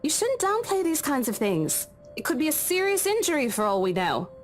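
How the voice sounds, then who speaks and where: A woman's voice: high voice, sing-song, fairly quick, soft background music. An actress playing a protective, firm young female coworker in a visual novel game. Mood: stern concern.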